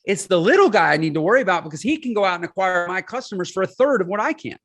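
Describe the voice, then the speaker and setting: A man's voice: sits high, animated, racing, a bit bright. A man in his forties, a podcast guest, explaining his points emphatically and often checking in with "right?". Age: 40s. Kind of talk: casual interview talk.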